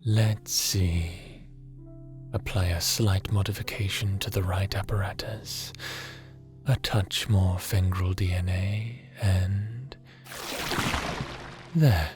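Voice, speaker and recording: A man playing a calm, caring underworld god with quiet schemes, in an intimate ASMR roleplay, speaking gently and reassuringly without hurry. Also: low-pitched, slow pace, pauses often, faint music behind. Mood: focused, murmuring.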